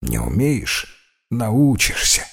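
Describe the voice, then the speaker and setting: A man voicing a kindly but gently stern priest who counsels in a calm, encouraging, preachy way. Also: on the low side, slow pace, creaky, breathy edge, exaggerated voice. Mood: firm, encouraging.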